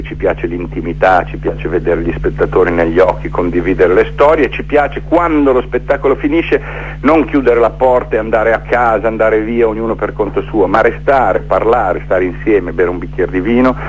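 Man, middle-aged, lowish pitch, reflective storyteller, conversational interview guest, repeated phrases, muffled sound. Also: fairly even intonation, normal speed, slight background noise. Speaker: theatre maker being interviewed.

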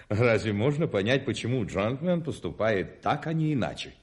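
An actor in a radio play, playing a fussy, anxious hotel manager who is obsequious about gentlemen and talks in a flustered, hesitant, repetitive way. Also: male voice, lowish voice, very animated, unhurried, slightly rough, exaggerated voice. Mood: anxious, reverent. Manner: flustered, hesitant, repeating himself. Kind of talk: radio play acting in Russian.

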